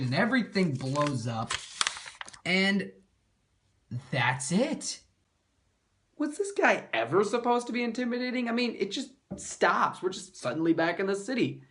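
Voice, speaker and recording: A man delivers scripted comedic review narration as the host. His pitch moves around a lot, and his commentary is sarcastic with some mild swearing. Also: fairly bright tone. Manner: sarcastic, mildly profane. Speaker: comic book reviewer and host.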